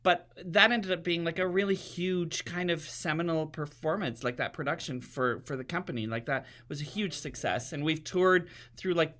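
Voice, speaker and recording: A man's voice: fairly high pitch, moderate pace, few pauses, fairly bright tone. A man bantering as an interview guest in a wry, mock-indignant, casual way. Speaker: guest, actor.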